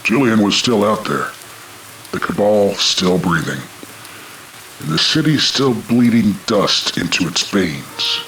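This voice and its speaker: Echoey, low-voiced hard-boiled dragon detective played by a man. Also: sing-song, takes it slowly, a little hiss or hum, heavily acted. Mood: brooding. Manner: terse, brooding, dramatic. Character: hard-boiled dragon detective, weary but defiant, narrating. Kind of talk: noir radio play narration. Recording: echoey, loud music and rain behind.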